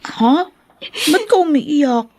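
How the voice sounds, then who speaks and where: Schoolgirl best friend, Filipino accent, high pitch, chatty, caring, radio drama. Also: very animated, slow, darkish tone, no echo, full character voice. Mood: comforting, concerned.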